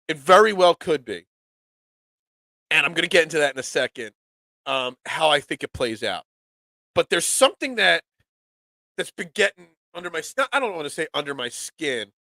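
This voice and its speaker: A man hosting a live sports talk show, nasal and congested from a cold, excitable and rambling. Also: fairly high pitch, no room sound. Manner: excitable, rambling, restarts often.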